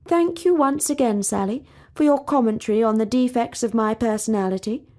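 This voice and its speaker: Woman reader as poised young princess, prim storybook voice, high and cool. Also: a little fast, a little mellow, close to an everyday voice. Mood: dignified. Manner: precise, formal, unruffled. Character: poised proper young princess, coolly formal.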